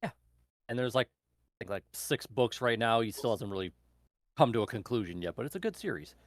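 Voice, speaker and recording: A man in a book club casually explaining a recommendation in a halting, meandering way. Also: mid-range voice, expressive, no echo, clean studio sound. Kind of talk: casual chat, recommending books.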